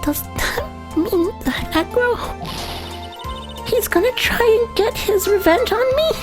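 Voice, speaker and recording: A woman's voice: very high-pitched, lively intonation, slow, music in the background, full character voice. A woman voicing a sad, naive young circus girl in a game, with a plaintive, faltering delivery. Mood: anxious.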